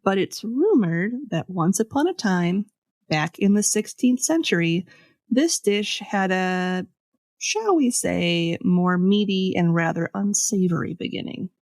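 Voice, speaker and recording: A woman podcast host telling a scripted story in a conversational way, with pauses for dramatic effect. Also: mid-range voice, expressive, a little mellow, dry recording, clean studio sound. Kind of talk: scripted storytelling with casual asides.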